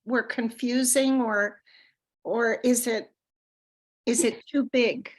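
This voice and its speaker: A woman storyteller on a video call, answering feedback in a hesitant, halting way and laughing often. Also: a little high, fairly even intonation. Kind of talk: casual feedback chat.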